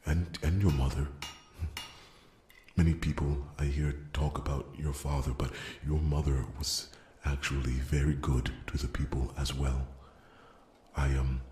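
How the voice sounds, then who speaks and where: A man's voice: a bass voice, level tone, measured pace, darkish tone, roomy sound, slight background noise. A man voicing an earnest, wordy dwarf loyal to royalty, stammering and repeating himself deferentially in an ASMR fantasy roleplay. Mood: earnest, reverent. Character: rambling, earnest, wounded-pride dwarf smith loyal to royalty.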